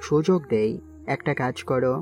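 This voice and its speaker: A calculating mafia king, acted by a man, speaks at a medium pitch over a music bed. He sounds composed and in command, weighing threats and handing out orders in an amateur audio-drama style. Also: expressive, normal speed, darkish tone, lightly acted. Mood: calm, calculating. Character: calm calculating male mafia king, authoritative. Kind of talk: amateur Bengali audio-story acting.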